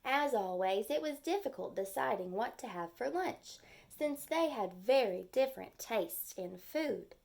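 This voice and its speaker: A woman with a fairly high voice and a touch of vocal fry leads storytime like a teacher. She takes her time, using simple words and clear phrases meant for small children. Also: expressive, unhurried, a little mellow. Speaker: teacher reading to young children. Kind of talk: storytime lesson with read-aloud and simple explanations.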